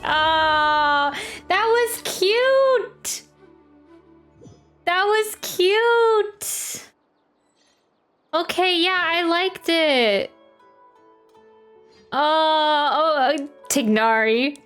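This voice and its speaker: A woman's voice: very high voice, extremely slow, long pauses, fairly bright tone, faint music behind. A woman game streamer chatting casually and reacting on a livestream.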